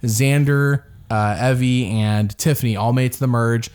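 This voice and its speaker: A man's voice: mid-range voice, steady tone, moderate pace, darkish tone, clean tone. A man co-hosting a podcast, talking through a reality show in casual chat with restarts and laughs. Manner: rambling, with restarts and frequent laughs. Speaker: podcast co-host.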